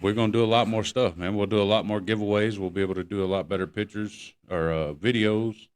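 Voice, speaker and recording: A man's voice: fairly low pitch, steady tone, fast, clear, resonant tone. A man chatting casually as a podcast co-host, laid-back and full of slang. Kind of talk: casual chat.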